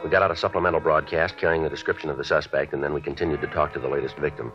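A very low-voiced American police detective in a vintage radio crime drama. He talks quickly in short, flat, official phrases, giving nothing away. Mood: matter-of-fact. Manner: deadpan, clipped, matter-of-fact. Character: terse, businesslike male police sergeant detective. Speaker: lead actor and narrator. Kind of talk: 1950s radio police drama, clipped narration and dialogue. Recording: dull vintage radio recording with music.

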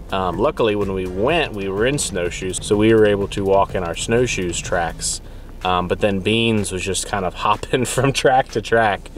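Casual man vlogging in a car. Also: lowish voice. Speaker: travel vlogger. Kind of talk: casual chat to camera. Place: inside a parked car.

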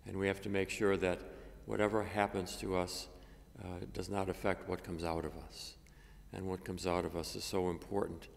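An older man, a priest, reading a gospel and preaching a homily at a lectern microphone, measured and halting with many fillers. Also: sits low, little pitch movement, vocal fry. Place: cathedral chapel, at a lectern. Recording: long reverb of a chapel.

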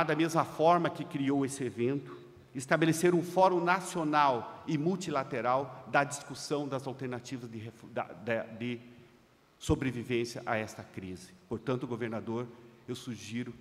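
A middle-aged man from Brazil delivers a prepared address at a panel table, speaking into a desk microphone. He leans into key phrases, growing louder and slowing to stress his points. His voice carries a long hall reverb from the large conference room. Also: medium pitch. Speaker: seminar speaker. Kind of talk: formal conference speech read from notes. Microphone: desk microphone on a stand.

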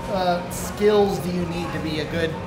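A man's voice: high voice, fairly even intonation, measured pace. Local TV reporter, man in his thirties, easygoing and chatty, roomy cafe sound with music behind. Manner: easygoing, chatty, many fillers and restarts. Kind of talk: on-location feature interview. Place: small cafe, indoors.

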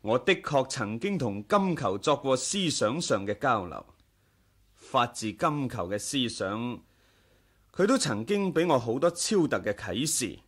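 An actor with a Hong Kong Cantonese accent playing a serene, tolerant young monk leader in a radio drama, gentle and courteous. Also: male voice, mid-range voice, big pitch swings, measured pace, breathy edge, exaggerated voice. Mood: patient, earnest.

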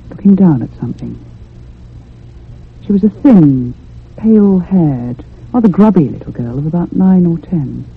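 A woman playing an anxious narrator recounting a haunting in a radio drama, in a husky, literary manner. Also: low voice, exaggerated voice. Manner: literary, measured storytelling. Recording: tinny, band-limited old radio sound.

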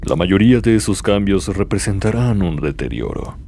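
Casting: male actor as contemptuous anime demon overlord, Latin American Spanish, very low, widely swinging delivery. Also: lively intonation, moderate pace, warm tone, a little hiss or hum. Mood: cold contempt. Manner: imperious, disdainful. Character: cold, contemptuous, menacing demon lord. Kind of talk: anime fan dub acting.

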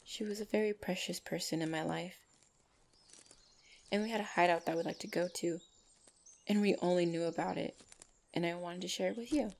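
A woman playing a gentle, shy orc lover in an ASMR roleplay, speaking softly and hesitantly with tender pauses. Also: lowish voice, vocal fry. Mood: wistful, tender.

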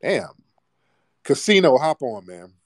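A man's voice: mid-range voice, very animated, slow, no room sound, studio quality. A man hosting a live audio room, talking bluntly and mocking callers over a phone mic.

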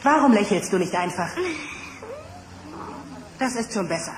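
A woman playing a curious, excitable boy adventurer in a German radio play. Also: mid-range voice, unhurried, noisy background, natural voice. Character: boy hero, curious, easily spooked. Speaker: voice actor. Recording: echoey hall with loud music.

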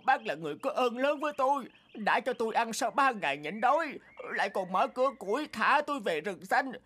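A man voicing a trapped, scheming male tiger in a cartoon, flattering and boastful. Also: very high voice, a little fast, breathy edge, full character voice. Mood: pleading. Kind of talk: cartoon voice acting. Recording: music bed under the voice.

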